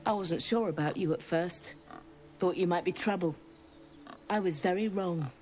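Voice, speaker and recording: An actor playing a sincere, grateful villager of a grove in a fantasy video game. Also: male voice, high voice, normal speed, a little mellow, faint music behind, close to an everyday voice. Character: sincere, grateful grove dweller won over.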